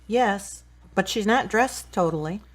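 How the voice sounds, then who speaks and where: An actress playing a friendly, welcoming married sister in a radio drama, homely in manner. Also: female voice, mid-range voice, expressive, measured pace.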